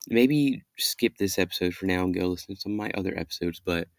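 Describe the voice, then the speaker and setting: Low, creaky young man narrating horror. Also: fast talker, no room sound. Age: young adult. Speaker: podcast host and story reader. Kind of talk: dramatic first-person horror story narration. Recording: music behind the voice.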